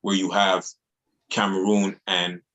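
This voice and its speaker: Male music artist, very low voice, earnest slangy interview talk. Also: level tone, slow, fairly bright tone, low-quality recording. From Montreal, Canada. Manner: earnest, repetitive phrasing with casual slang. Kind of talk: casual interview chat.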